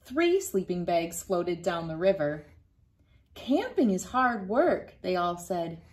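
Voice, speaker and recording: A woman in her thirties reading a picture book aloud to children, gentle and playful, laughing now and then, recorded on a phone camera indoors. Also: lowish voice, big pitch swings, takes it slowly. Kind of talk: read-aloud storytime for kids. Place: bright room indoors.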